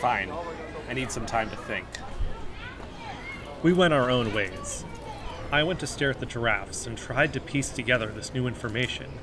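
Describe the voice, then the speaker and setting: A man's voice: medium pitch, normal speed, pauses often, crowd noise, lightly acted. An actor playing an earnest, brooding amateur investigator who narrates his own conspiracy-minded story in an audio drama. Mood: curt. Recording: faint background noise.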